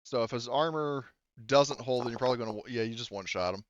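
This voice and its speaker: A man's voice: mid-range voice, fast talker, dry recording, lo-fi sound. A man narrating a tabletop roleplaying game as game master, casually and in a rambling, informal way. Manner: informal, rambling, often swearing.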